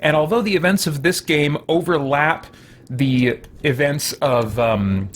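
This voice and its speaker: A man's voice: a little high, measured pace, slight background noise. A man giving casual livestream gameplay commentary, rambling along, often correcting himself and poking fun at himself. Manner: rambling, self-correcting, self-deprecating. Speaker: game streamer and host.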